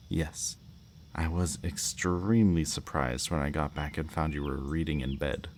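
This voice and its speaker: A man's voice: very deep voice, heavily acted. A man playing a smug, politely menacing kidnapper in an intimate ASMR roleplay, speaking to the listener in a teasing, mock-courteous way. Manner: slow, teasing, mock-courteous, lingering over words. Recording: faint background noise, chirping night crickets.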